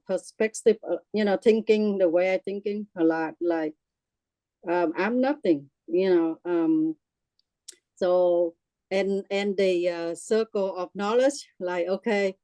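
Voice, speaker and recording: A middle-aged woman with a Vietnamese accent speaks in a mellow, darker tone, close and dry with little room sound. She talks haltingly, stacking fillers and restarts, and breaks easily into laughter. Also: lowish voice, clear, resonant tone, no echo, low-quality recording. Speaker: group member sharing her experience. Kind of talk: casual personal sharing.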